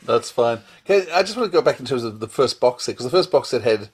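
A man's voice: mid-range voice, lively intonation, fast talker, slightly rough. A man hosting a casual interview podcast, asking questions and chatting informally.

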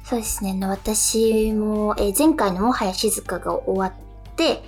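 A woman's voice: medium pitch, moderate pace, a little mellow, soft background music. A woman co-hosting a radio show, chatting casually in polite speech. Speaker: radio show co-host, stage actress.

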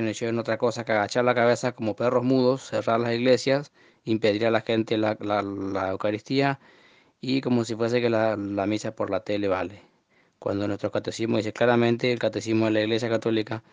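A man in his early twenties with a northern Argentine accent, speaking off the cuff in an earnest, indignant, halting monologue. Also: dry recording. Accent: northern Argentine Spanish. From Formosa, Argentina. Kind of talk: unscripted monologue to camera. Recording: muffled, dull low-fidelity audio.

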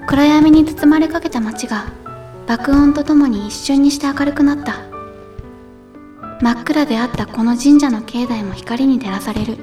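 Woman doing proud little kid helper, high-pitched, echoey, audio drama. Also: mellow, lightly acted. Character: small, proud, childlike helper Peko in spirit world. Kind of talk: Japanese audio drama acting. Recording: roomy, distant, music behind.

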